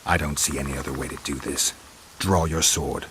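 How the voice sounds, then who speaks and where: A male actor playing a stoic, gruff monster hunter in a video game, terse and deadpan. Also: very deep voice, brisk, background noise. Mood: stern.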